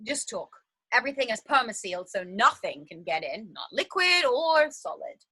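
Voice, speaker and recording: A woman's voice: a little high, sing-song, moderate pace, sharp tone, slightly rough, exaggerated voice. An actress playing an anxious but determined woman on the run in a stage drama, speaking in a theatrical, insistent way. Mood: wary. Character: anxious but determined woman fleeing a flooded underground shelter. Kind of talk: dramatic stage-play dialogue.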